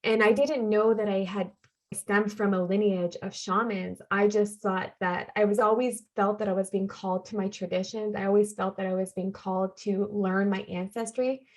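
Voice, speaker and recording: A woman's voice: medium pitch, little pitch movement, moderate pace, a little mellow. Earnest woman telling her story. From Canada. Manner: earnest, heartfelt, repeats phrases for emphasis. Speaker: podcast guest. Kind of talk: personal storytelling in a conversation.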